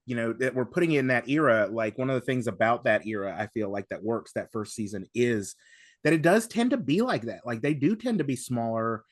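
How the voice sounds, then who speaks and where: A man on a podcast panel giving casual analysis, halting and repeating words as he builds his point. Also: mid-range voice, animated, dry recording, studio quality.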